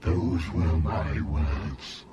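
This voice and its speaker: An actor playing an ancient Jedi master living as a tree, grave and stately, in an audio drama. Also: male voice, extremely low pitch, little pitch movement, very slow, highs cut off, heavy background noise, full character voice. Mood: solemn. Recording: loud music over the voice.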